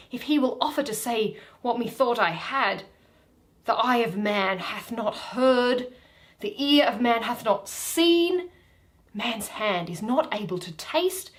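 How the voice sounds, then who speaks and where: A woman's voice: fairly high pitch, fairly bright tone. A woman reading Shakespeare, voicing a bumbling, pompous weaver man who muses theatrically in amazement after waking. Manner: theatrical, exclamatory, musing.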